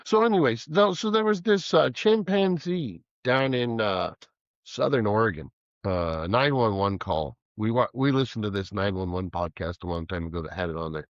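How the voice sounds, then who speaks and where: A man's voice: lowish voice, expressive, normal speed, dry recording, lo-fi sound. A man with a cold, stuffy-nosed, hosting a casual podcast and rambling with jokes. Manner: rambling, joking, chuckling. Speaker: podcast host.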